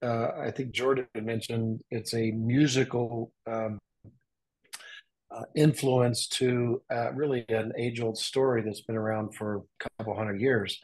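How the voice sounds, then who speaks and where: A man in his sixties talking casually on a podcast as a guest, in a measured, halting and reflective way. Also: medium pitch, steady tone, darkish tone, rough recording. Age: 60s. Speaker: podcast guest and father of the host.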